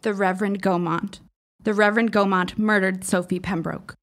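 A woman plays a bookish teenage girl in a radio drama. Her voice has a noticeable creak, and she speaks in wordy, formal phrases with earnest insistence. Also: mid-range voice, steady tone, moderate pace, natural voice. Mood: insistent. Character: precocious, earnest fifteen-year-old girl writer, shaken but insistent. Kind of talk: audio drama acting.

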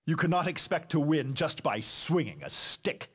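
A man's voice: fairly high pitch, sing-song, moderate pace, fairly bright tone, slightly rough, big-room sound, exaggerated voice. An actor playing a proud, menacing male warrior in a fighting video game, delivering theatrical, haughty lines full of disdain for weaker foes. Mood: cold disdain. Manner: haughty, declamatory, dramatic.

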